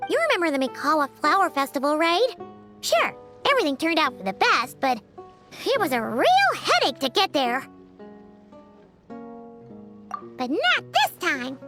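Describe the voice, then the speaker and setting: Tiny floating fairy, very high squeaky childlike voice, animated, excitable, game dialogue over music. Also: female voice, measured pace, long pauses, heavily acted. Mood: chatty. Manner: speaks of self in third person, exclamatory. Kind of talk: video game voice acting. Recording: music behind the voice.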